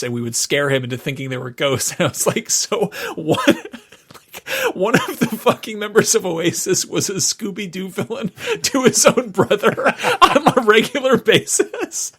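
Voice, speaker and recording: A man co-hosting a podcast in casual chat, telling stories in an animated, wry, sweary way. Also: on the high side, moderate pace. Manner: animated, profane, wry storytelling. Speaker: podcast co-host.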